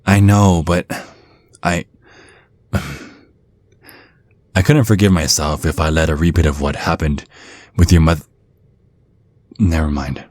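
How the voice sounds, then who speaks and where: A man's voice: a bass voice, fairly even intonation, moderate pace, long pauses, a little mellow. A man playing a grumpy, protective centuries-old vampire bodyguard in an intimate ASMR roleplay, speaking in an earnest, brooding way. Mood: troubled.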